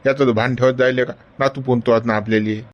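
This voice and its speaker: An elderly friend, played by a man speaks with a Varhadi accent, alternately coaxing and chiding. His voice sits at a middling pitch, with a rustic drawl. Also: fairly even intonation, fast talker, close to an everyday voice. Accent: Varhadi Marathi. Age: older. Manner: coaxing, chiding with repeated "गड्या". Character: old male friend, coaxing and scolding.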